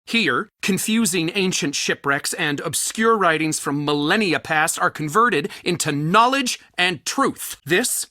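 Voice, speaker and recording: A man's voice: fairly high pitch, animated, measured pace, hardly any pauses, sharp tone, dry recording, studio quality. A man playing an eccentric, anxious, bookish museum curator in a video game, with a theatrical, wordy and wryly dramatic delivery. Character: eccentric, bookish, anxious male culture center curator Soren.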